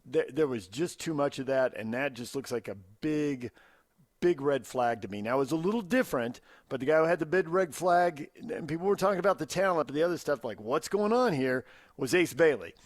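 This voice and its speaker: American sports radio host, dry close studio sound. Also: male voice, on the high side, expressive, a little fast, no room sound. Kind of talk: sports talk radio, opinionated conversation. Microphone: close studio radio microphone.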